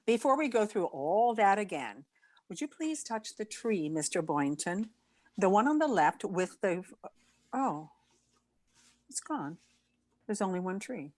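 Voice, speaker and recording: An actress playing a wry, flustered schoolteacher woman in an old-time radio comedy, halting and theatrical. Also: medium pitch, sing-song. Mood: bewildered, insistent.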